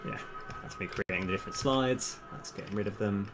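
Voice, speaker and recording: A man's voice: on the low side, a little fast, music in the background. A man doing casual live-stream commentary as a game developer, thinking aloud in a hesitant, self-correcting way.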